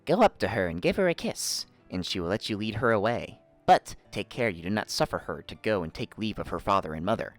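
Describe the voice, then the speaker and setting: A man's voice: on the low side, sing-song, fairly quick, few pauses, faint music behind. A man voicing a cunning old fox who gives wise, emphatic counsel in a storybook reading. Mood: firm, advising. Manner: instructing, emphatic.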